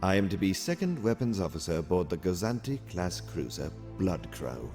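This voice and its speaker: A man voicing a calm, calculating alien military officer in formal, precise phrases for a comic dub. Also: low-pitched, music in the background. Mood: dry, composed. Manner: measured, formal, precise wording. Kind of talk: dramatic comic dub acting.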